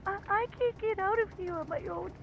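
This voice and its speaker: Woman acting frightened, high-voiced little girl. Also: vocal fry, dull audio, a little hiss or hum, exaggerated voice. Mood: frightened, pleading. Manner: hesitant, halting, pleading. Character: frightened young girl calling police dispatch. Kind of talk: video game voice acting.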